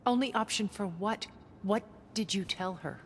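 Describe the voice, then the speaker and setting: An actress playing a cool, haughty, middle-aged schemer in a video game, speaking in a measured, formal and wry manner. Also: female voice, mid-range voice, slight background noise, natural voice. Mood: wary, wry. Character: cool, calculating woman schemer, haughty and persuasive. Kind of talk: dramatic video game cutscene acting.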